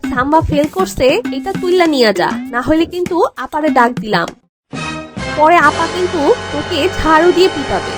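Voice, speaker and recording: High-pitched, stressed Bangladeshi teenage girl, acted by a woman. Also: lively intonation, fairly quick. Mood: indignant, stressed. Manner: exasperated, whiny complaining. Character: stressed, snappy teenage girl awaiting exam results. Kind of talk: cartoon comedy acting. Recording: music bed behind voice.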